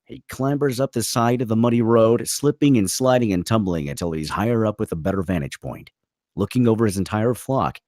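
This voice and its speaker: A man's voice: lowish voice, expressive, brisk, darkish tone, no echo, clean studio sound. A man reading stage directions as the narrator of a dramatic film script at a table read.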